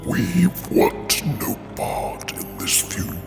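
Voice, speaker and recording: A man voicing a menacing monstrous being of hunger and darkness, speaking in slow, ominous, hissing phrases for a roleplay story. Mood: ominous, brooding. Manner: slow, drawn-out, ominous speech with hissing whispers. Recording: long cavernous reverb, music behind.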